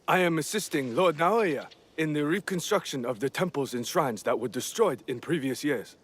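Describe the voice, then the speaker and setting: An actor playing a polite, dutiful Japanese lord in a video game, formal and earnest. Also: male voice, on the high side, animated, normal speed, husky. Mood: courteous, earnest. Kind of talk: video game cutscene acting.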